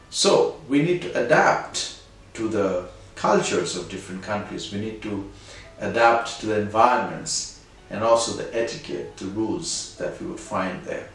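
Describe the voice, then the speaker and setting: A middle-aged man from India with an Indian English accent, telling a personal anecdote in a conversational way and closing with a lesson. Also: lowish voice. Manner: animated, conversational, moral at the end. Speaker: storyteller host. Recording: very echoey room, distant mic, faint music.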